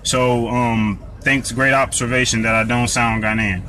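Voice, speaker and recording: A man's voice: medium pitch, flat delivery, unhurried, bright, forceful tone, slight background noise, poor audio. An American man hosting a live Q&A, answering viewer comments in an earnest, preachy way, often restarting and repeating words. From United States. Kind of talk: live Q&A talk.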